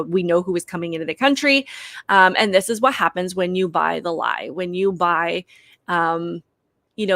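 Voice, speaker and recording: A woman's voice: lowish voice, a bit bright, no echo. A woman hosting a political commentary show, reading news articles aloud and arguing her view in an earnest way, with frequent fillers.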